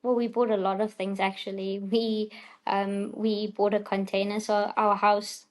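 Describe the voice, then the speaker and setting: A woman's voice: medium pitch, level tone, a little slow. A woman with a South African accent chatting casually as an interview guest, in a chatty, giggly manner.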